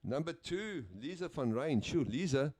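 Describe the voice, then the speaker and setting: Guy doing sports commentary, laid back, lots of uhs, mellow voice. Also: on the high side, expressive, a little slow. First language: Afrikaans. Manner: easygoing, chatty, with frequent uhs and name slips. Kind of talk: live play-by-play commentary.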